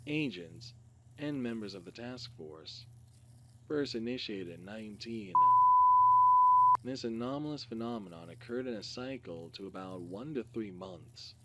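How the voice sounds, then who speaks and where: A man reads a scripted case file as narration, in a halting, word-by-word way. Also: fairly low pitch, a little mellow. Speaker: reader. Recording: clearly noisy recording with hum.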